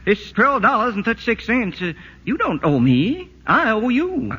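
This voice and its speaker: An actor playing a plainspoken, honest rural tradesman, talking in a halting, folksy drawl in a 1940s radio play. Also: high-pitched, big pitch swings, background noise. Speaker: tradesman collecting a bill. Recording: dull old radio broadcast with reverb.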